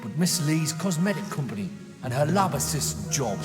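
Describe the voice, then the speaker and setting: A man's voice: fairly high pitch, sing-song, moderate pace. An actor playing a sardonic, aggrieved male outcast in a video game cutscene. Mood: bitter, mocking. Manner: mocking, aggrieved. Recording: long reverb, loud music behind.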